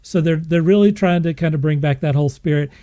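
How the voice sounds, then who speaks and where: A man's voice: fairly high pitch, quick pace, dark tone. A man chatting casually and enthusiastically as a podcast panellist. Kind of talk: casual chat.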